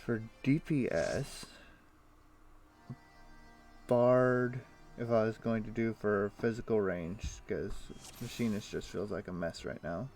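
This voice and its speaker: Streamer, male, low and gravelly, easygoing gamer chatter, game music underneath. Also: takes it slowly, pauses often. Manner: relaxed, thinks aloud with many fillers. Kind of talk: casual chat while gaming.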